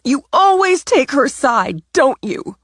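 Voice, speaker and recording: A woman voicing a tearful, frantic young woman who pleads in a high, sobbing voice. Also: very animated, slow pace, dry recording, studio quality, heavily acted. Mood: furious.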